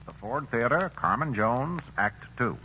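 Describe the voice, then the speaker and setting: A man's voice: medium pitch, animated, measured pace, highs cut off, very noisy. A man formally announcing and setting scenes in a 1940s radio drama broadcast. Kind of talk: scripted announcing.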